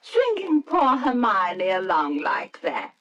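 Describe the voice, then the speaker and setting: An actress in an old-time radio drama playing a bossy, chattering professor's wife, imperious and theatrically emphatic. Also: female voice, medium pitch, huge pitch swings, takes it slowly, fairly bright tone, dull audio, heavily acted. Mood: sociable, domineering.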